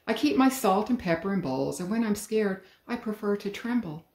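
A woman's voice: mid-range voice, expressive, normal speed, a little mellow, pitched above the performer's own voice, lightly acted. A woman voicing an eccentric, dramatic male shopkeeper who makes prim pronouncements in a storybook reading. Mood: fussy. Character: eccentric theatrical male shopkeeper, middle-aged. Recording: roomy living room.

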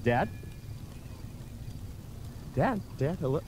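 Cocky rich party boy, swinging pitch. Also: very animated, darkish tone, a little hiss or hum, exaggerated voice. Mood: nervous pleading. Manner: rambling, swaggering, halting. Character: rich young party-boy son, cocky, needy, foul-mouthed. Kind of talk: video game cutscene acting.

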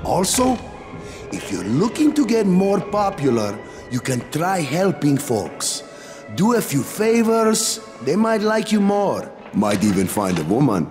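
A man's voice: a little high, unhurried. An actor playing a blunt, sardonic middle-aged male quartermaster in a game, with music behind. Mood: wry. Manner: wry, blunt, lively delivery.

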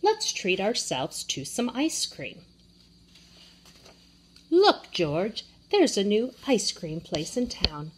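Lady reading nice calm storybook man, gentle, kinda instructive, looking after a little one. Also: medium pitch, slow, pauses often, a little hiss or hum. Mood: friendly. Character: man with the yellow hat, kindly calm guardian.

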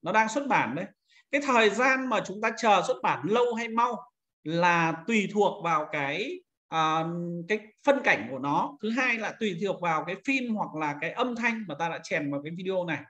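A man instructing in a screen-recorded software tutorial, talking informally and pointing things out step by step as he demonstrates. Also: high voice, animated, normal speed. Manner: informal, repeats pointing words while demonstrating.

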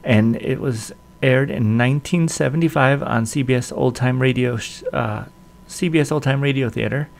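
A man's voice: medium pitch, normal speed, gravelly. A man in his forties casually hosting a video introduction to old-time radio shows.

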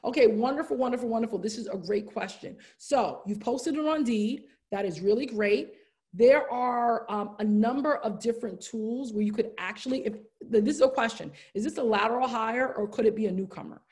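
A woman's voice: fairly high pitch, fairly quick. Earnest woman trainer on a video call. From United States. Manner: earnest, warm, repeats "wonderful" and "right?". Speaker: diversity trainer. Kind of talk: live webinar training, answering chat questions. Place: indoors, room with brick wall. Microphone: laptop webcam, video call.

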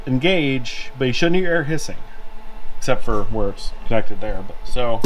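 A man's voice: mid-range voice, expressive, normal speed. A man giving an unscripted, step-by-step hands-on tutorial as a mechanic, in plain-spoken words. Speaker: mechanic demonstrating a repair on video. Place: indoor garage workshop at a workbench. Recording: clearly noisy workshop camera audio. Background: clear workshop background noise.

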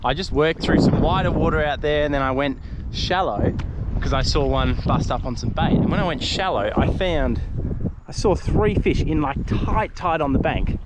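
A man's voice: fairly high pitch, moderate pace. A young Australian man fly fishing from a lakeshore, chatty as he explains his tips, recorded on a head-mounted camera. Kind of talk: casual outdoor fishing vlog. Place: lakeshore outdoors on a windy day. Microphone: head-mounted action camera. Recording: wind noise on an outdoor camera mic.

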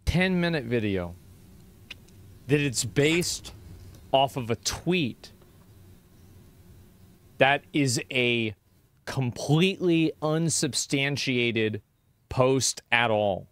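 A man's voice: mid-range voice, expressive, extremely slow, long pauses, a bit bright, clean tone. A man streaming live, reacting in an incredulous, questioning way.

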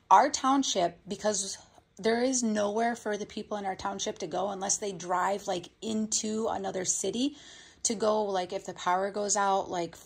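A middle-aged woman with a husky, creaky voice at an average pitch. She chats casually in a rambling, matter-of-fact way, like a home vlogger showing what she bought. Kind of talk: casual chat, showing a grocery haul.

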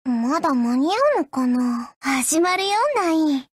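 Childlike doll girl, very high voice, lively swooping intonation, smug, teasing, haughty, anime game lines. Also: a little slow, no room sound, studio quality. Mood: smug, taunting. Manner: teasing, haughty, pretending to be grown-up.

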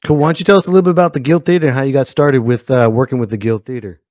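A man's voice: medium pitch, racing, dry recording. A man in his thirties hosting a comedy show in a casual, exclamatory way, speaking close to a podcast microphone. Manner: animated, exclamatory. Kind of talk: casual comedic monologue and interview. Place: indoor home studio, dry. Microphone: close podcast microphone, headset.

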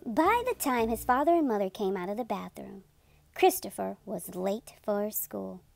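A woman reading a picture book aloud to children as a storytelling host, with expressive, drawn-out playful emphasis. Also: medium pitch, gravelly, soft background music.

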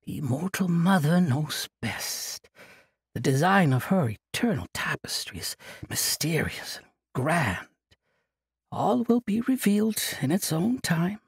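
A man's voice: fairly high pitch, huge pitch swings, moderate pace, slightly rough, no room sound, studio quality, voice pushed up, full character voice. A man voicing an eccentric, cheerful old monk with a sing-song, scatterbrained manner. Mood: solemn.

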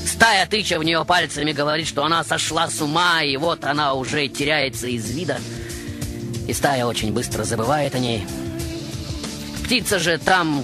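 A man hosting a radio show, delivering a scripted literary monologue in a dramatic, deliberate, theatrical manner. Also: fairly high pitch. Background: music behind the voice.

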